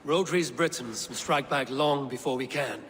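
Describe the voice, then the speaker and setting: An actor playing a resolute, level-headed Viking raider in a video game, speaking firmly and with measure. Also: male voice, medium pitch, fairly bright tone, a little hiss or hum, natural voice. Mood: determined. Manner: firm, measured. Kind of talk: dramatic video game acting.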